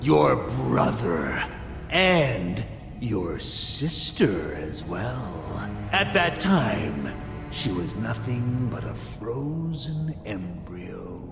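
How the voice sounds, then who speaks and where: A megalomaniac scientist villain from a video game with a fairly low voice. He gloats coldly and theatrically, stretching key words with smug satisfaction. The voice echoes, with music clearly playing underneath. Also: very animated, full character voice. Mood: gloating. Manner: theatrical, gloating, drawn-out emphasis.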